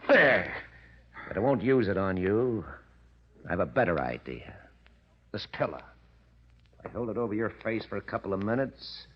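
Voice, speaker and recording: A slick American schemer from an old radio thriller. His low voice swoops animatedly through cocky, slangy lines. The vintage recording sounds dull and boxy. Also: animated, normal speed, long pauses, husky. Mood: menacing. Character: slick, scheming, cocky press agent turned criminal. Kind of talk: 1940s radio crime acting.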